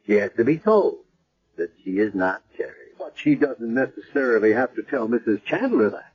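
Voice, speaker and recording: An actor playing a measured, thoughtful man doctor in a 1970s radio drama. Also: mid-range voice, sing-song, slightly rough. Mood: calm. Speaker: hospital doctor. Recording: dull lo-fi vintage radio recording.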